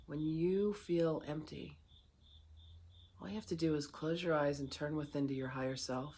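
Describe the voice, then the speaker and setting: A guided meditation leader speaks slowly and soothingly, leaving long pauses between phrases. The voice sits at a middle pitch. Clear background noise with chirping insects runs behind it.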